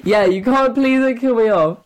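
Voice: high voice, very noisy. Casual, giggly interviewee with rambling delivery. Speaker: interviewed voice actor.

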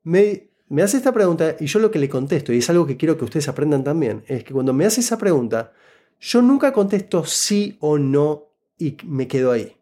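A man with an Argentine Spanish accent and a fairly high, mellow voice. He speaks as an interview guest, telling a story in a very lively, swooping way. He explains things and keeps checking that the listener is following. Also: brisk. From Buenos Aires, Argentina. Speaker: interview guest giving job-interview advice. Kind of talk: casual conversational storytelling.

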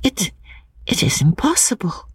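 Lady doing a sweet gentle girl in love, storybook reading, very breathy, voice way up and swoopy. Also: mid-range voice, very animated, a little slow, dry recording, in a whisper, pitched above the performer's own voice, full character voice. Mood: wondering. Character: Lucy, gentle young Englishwoman in love. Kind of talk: dramatic audiobook character reading.

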